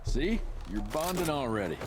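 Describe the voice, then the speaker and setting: An actor playing a cocky, tough younger monster-hunting gunslinger in a video game, terse, sardonic and threatening. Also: male voice, medium pitch, big pitch swings, moderate pace, over music, natural voice. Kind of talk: video game cutscene acting. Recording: noisy game effects behind.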